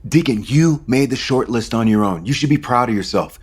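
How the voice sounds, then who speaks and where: An actor playing a supportive but conflicted man in love in an audio soap opera, gentle, sincere and sometimes halting. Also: mid-range voice, expressive, breathy edge. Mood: excited, proud.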